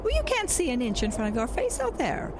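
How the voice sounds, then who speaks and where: A haughty, bossy churchgoer, swooping pitch. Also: female voice, wildly swooping pitch, a little fast, noisy background, exaggerated voice. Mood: impatient, dismissive. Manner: haughty, scolding, prim. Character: bossy, self-centred, impatient churchgoing woman. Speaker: actor. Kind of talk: audio horror drama acting.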